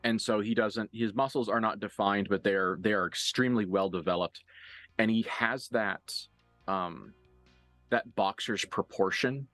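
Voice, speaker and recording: Low-voiced man narrating a tabletop game. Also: fairly bright tone, no echo, soft background music. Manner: halting, conversational, graphic blow-by-blow description. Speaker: game master.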